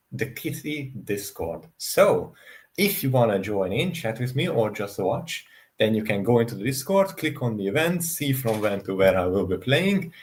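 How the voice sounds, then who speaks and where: A man's voice: fairly low pitch, slight background noise. A man speaking casually as a guest panelist on a livestream, over a voice call.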